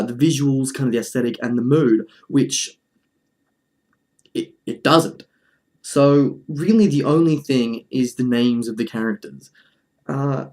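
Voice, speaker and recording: A man's voice: mid-range voice, darkish tone. A man hosting a casual video essay, with a dry, jokey manner and sentences he often breaks off and restarts. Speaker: video host.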